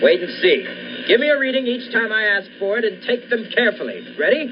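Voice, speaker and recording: A man's voice: sits high, sing-song, a little fast, music in the background. A man playing an obsessed, commanding scientist in a 1940s-style radio thriller, urgent and sure of himself. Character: obsessed male scientist reviving his dead wife. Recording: muffled, noisy amateur radio play recording.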